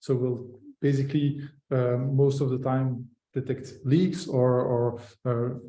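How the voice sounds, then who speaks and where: A man's voice: mid-range voice, flat delivery, slow, warm tone, echoey room. A man from Belgium, a company founder, talking in a casual webinar interview with many fillers and restarts.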